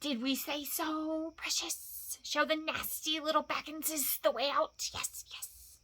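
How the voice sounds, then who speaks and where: A woman's voice: sits high, little pitch movement, slow pace, sharp tone, voice pushed up, exaggerated voice. A woman voicing a wretched, wicked male cave creature in a storybook, with a hissing, whispery voice that breaks into shrieks, muttering obsessively in broken grammar. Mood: sly, wheedling. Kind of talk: storybook character voice.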